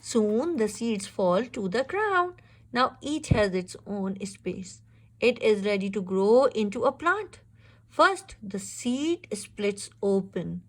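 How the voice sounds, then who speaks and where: Slow, animated woman reading to children. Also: mid-range voice. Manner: expressive, instructive, exclaims and checks understanding. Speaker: reader teaching a young child. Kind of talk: children's picture-book read-aloud with questions.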